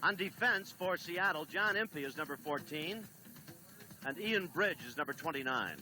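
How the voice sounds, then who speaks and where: A man doing play-by-play on a 1970s television soccer broadcast, briskly reading lineups and standings. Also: a little high, lively intonation, over music, rough recording. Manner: brisk, reads lineups and stats. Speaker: play-by-play announcer.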